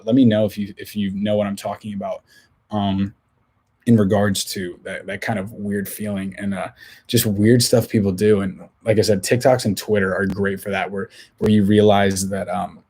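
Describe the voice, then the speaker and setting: Young man, hoarse, husky low voice, flat delivery, rambling casual podcast talk. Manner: rambling, many restarts and filler words. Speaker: podcast host.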